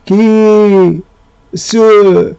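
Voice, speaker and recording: A man with a high-pitched voice recites poetry at an extremely slow pace. He breaks the text into short, separated phrases, so the delivery is halting and spoken piece by piece. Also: steady tone, vocal fry, warm tone, clear, resonant tone, muffled recording.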